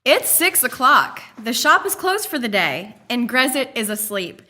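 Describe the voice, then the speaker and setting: A woman's voice: fairly high pitch, very animated, measured pace, bright, forceful tone, exaggerated voice. An actress playing a playful, teasing young woman.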